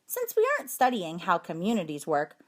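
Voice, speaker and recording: A woman reading a children's story aloud in an expressive, emphatic storytelling manner. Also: on the low side, a little fast. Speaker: storybook reader, teacher.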